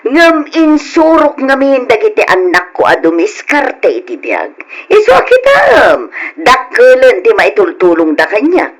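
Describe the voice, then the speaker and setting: A woman's voice: a little high, wildly swooping pitch, unhurried, exaggerated voice, rough recording. An actress playing a boastful, mocking middle-aged village woman in an old Ilocano radio drama, with an Ilocano accent and a gossipy, taunting delivery. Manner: taunting, gossipy, exaggerated sing-song swings.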